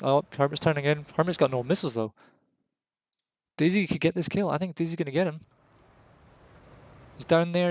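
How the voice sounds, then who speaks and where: A Scottish man commentating a competitive match in a casual, jokey way. His voice sits a little high and leaps up when the action heats up. The sound is dry and close. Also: creaky, no room sound. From Scotland. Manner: excitable, rises sharply at kills. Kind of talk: live esports commentary.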